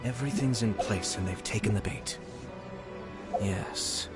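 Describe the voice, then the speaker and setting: Casting: cunning plotter for a video game, male actor, lowish pitch, drawn-out deliberate delivery, conspiratorial. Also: over loud music, lightly acted. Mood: scheming. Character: sly, scheming villain laying a trap.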